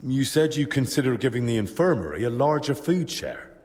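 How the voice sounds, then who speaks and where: An actor playing a plain-spoken, persistent young adventurer in a video game, with a frank, wry and informal manner. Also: male voice, mid-range voice, very animated, a little fast, a little mellow, close to an everyday voice. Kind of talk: video game cutscene acting. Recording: reverberant, clear music behind.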